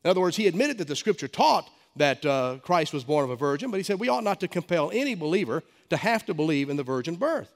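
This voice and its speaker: A middle-aged male pastor with a head cold, preaching a sermon with emphatic stresses and stammered restarts. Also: sits high. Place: church sanctuary. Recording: long hall reverb.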